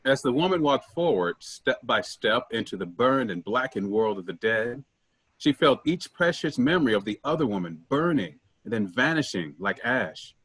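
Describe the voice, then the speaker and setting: A man playing a solemn boatman of the dead in a staged play reading, measured and husky. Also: medium pitch, very animated, fairly bright tone. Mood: grave. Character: solemn male boatman ferrying souls of the dead.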